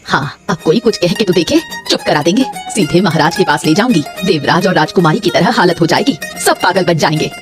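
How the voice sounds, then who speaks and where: A woman voicing a brash sweet-shop woman in an animated Hindi moral story, with an exaggerated, expressive delivery. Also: lowish voice, fairly quick, few pauses, over music, close to an everyday voice. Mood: gloating. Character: brash, gloating sweet-shop women and puzzled village wife.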